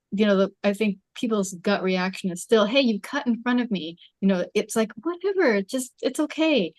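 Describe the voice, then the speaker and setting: A woman's voice: mid-range voice, a little mellow, no room sound. A woman talking in a casual, conversational way during a group discussion.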